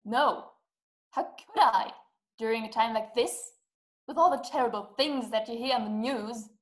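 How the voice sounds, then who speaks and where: A woman's voice: fairly high pitch, moderate pace, close to an everyday voice. A young woman playing a distraught, imperious invalid wife in a play, speaking with dramatic, emphatic, formal diction. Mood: impatient. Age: young adult. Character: distraught, imperious, self-pitying invalid wife, desperate and impatient. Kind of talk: theatrical stage-play acting over video call. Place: small dim room at home. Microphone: webcam video call.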